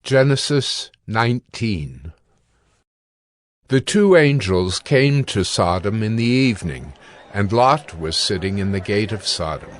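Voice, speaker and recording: A man's voice: lowish voice, animated, slow, no echo. A man narrating scripture as a scripted dramatized reading.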